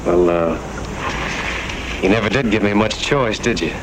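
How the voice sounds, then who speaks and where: Laconic, low-voiced rancher. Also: male voice, takes it slowly. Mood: defiant, guarded. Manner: terse, guarded, dry. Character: wary, independent, laconic western rancher. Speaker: actor. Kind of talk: mid-century western drama acting. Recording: noisy old western soundtrack.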